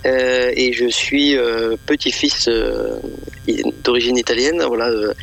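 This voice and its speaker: A man's voice: mid-range voice, fairly even intonation, measured pace, vocal fry, a bit bright, low-quality recording. A man from eastern France, a radio guest and event organizer, speaking hesitantly with many fillers and repeated words. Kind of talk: radio interview.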